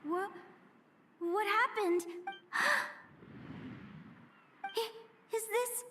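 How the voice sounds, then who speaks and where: A very high, girlish voice plays a dreamy game adventurer who thinks aloud expressively. She takes her time over her words, with music playing underneath. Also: extremely slow, heavily acted. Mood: shaken, distressed. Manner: breathy gasps, expressive musing aloud. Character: dreamy, excitable young girl adventurer, gasping and wondering. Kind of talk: video game story voice acting.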